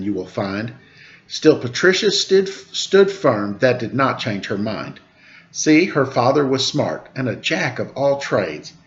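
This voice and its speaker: Male storyteller reading a rhyming kids' book, sing-song and animated on quotes; mid-pitched voice, slightly muffled recording. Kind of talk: rhyming picture-book read-aloud.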